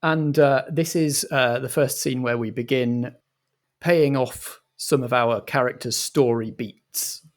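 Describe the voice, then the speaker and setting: A man with a mid-pitched voice directs as game master. He sets up improvised comedy scenes deliberately, stopping and starting as he goes. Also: steady tone. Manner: halting, deliberate.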